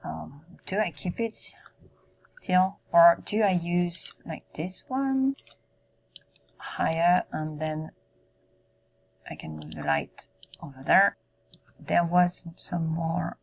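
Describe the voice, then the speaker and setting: A woman giving casual commentary while building in a life-simulation game, musing aloud with many ums and short pauses, her voice going hoarse. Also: fairly low pitch. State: losing her voice. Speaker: Sims content creator.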